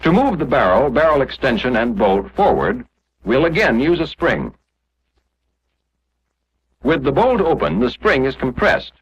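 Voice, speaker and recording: Casting: male narrator for a 1940s instructional film, animated intonation, didactic scripted read, muffled lo-fi period sound. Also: mid-range voice, a little slow, long pauses, slight background noise. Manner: didactic, clearly explanatory, step by step.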